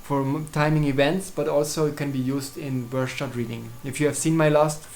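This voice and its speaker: A Swiss man, German his first language, speaks English in a casual solo video, presenting his astrology readings. His voice sits a little high, and he pauses and restarts often with fillers. A faint hiss of noise runs behind him. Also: steady tone, gravelly, a little mellow. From Switzerland. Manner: hesitant, halting, many fillers and restarts.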